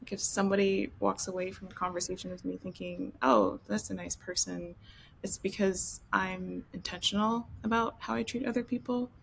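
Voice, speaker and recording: A woman in a company podcast roundtable, speaking thoughtfully and softly, often breaking into giggles. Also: on the low side, vocal fry, slight background noise, rough recording. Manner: thoughtful, soft-spoken, giggly. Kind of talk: casual reflective chat.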